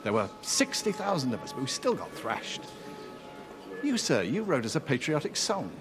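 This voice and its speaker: An actor playing a combative patriotic war veteran in a period drama, gruff and challenging. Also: male voice, a little high, sing-song, normal speed, music in the background. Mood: proud, bitter. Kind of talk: period television drama acting.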